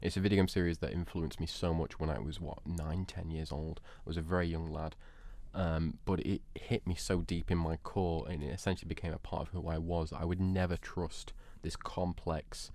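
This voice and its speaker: A man's voice: deep voice, fairly even intonation, normal speed, few pauses, a little mellow. A man arguing his opinion emphatically in a casual panel debate, repeating words for stress.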